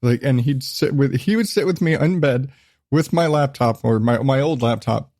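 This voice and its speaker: Man, 30s, podcast host, rambling casual chat, swearing, getting over a cold, mid-pitched, close dry mic. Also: normal speed, darkish tone, no echo. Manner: rambling, swears freely, self-interrupting. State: recovering from illness, lingering cough.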